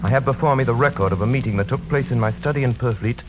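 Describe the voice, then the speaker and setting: An actor playing a haunted gentleman doctor, narrating in a formal, dramatic, deliberate 1930s radio drama style. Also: medium pitch, a little hiss or hum, natural voice. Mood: formal, sober. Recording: dull old radio broadcast.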